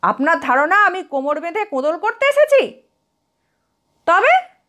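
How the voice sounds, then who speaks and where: A woman voicing a sharp-tempered, haughty young college woman in a quarrel, in a dramatised storybook reading. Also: extremely high pitch, very animated, a little slow, pitched above the performer's own voice, heavily acted. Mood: indignant, sharp.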